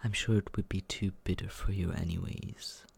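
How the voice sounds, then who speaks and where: A man playing a smug, condescending but secretly caring young roommate in a teasing ASMR roleplay, with breathy, husky whispering and murmuring. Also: low voice, steady tone, heavily acted. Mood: mocking, playful. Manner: teasing, condescending, slow drawl.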